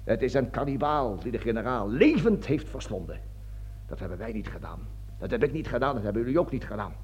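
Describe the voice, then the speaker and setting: A man's voice: mid-range voice, sing-song, a little fast, a little mellow, a little hiss or hum, close to an everyday voice. A man acting a panicking, cowardly, self-justifying army colonel in a radio drama, blustering, stammering and pleading as he repeats himself. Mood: urgent, conspiratorial. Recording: dull lo-fi old radio recording.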